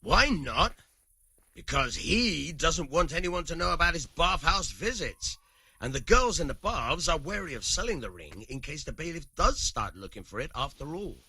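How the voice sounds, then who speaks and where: Husky, wry medieval rogue. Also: male voice, a little high, sing-song, moderate pace, sharp tone, no room sound, full character voice. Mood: wry, conspiratorial. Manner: colloquial, emphatic. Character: wry, cynical medieval rogue, rough-tongued commoner. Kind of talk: video game dialogue acting.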